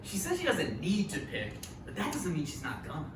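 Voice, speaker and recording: Needy oblivious guy, played by a man, mid-pitched with lively swings, distant noisy stage. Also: a little fast. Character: awkward, needy, oblivious male hanger-on. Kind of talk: comedic live table read. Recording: echoey live stage, distant mic, noisy.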